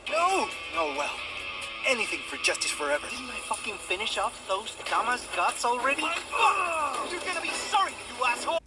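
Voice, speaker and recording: A young man playing an excitable, sarcastic, foul-mouthed amateur superhero in a game cutscene. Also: very high-pitched, moderate pace. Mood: defiant, angry. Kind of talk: video game cutscene acting. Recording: loud music behind the voice.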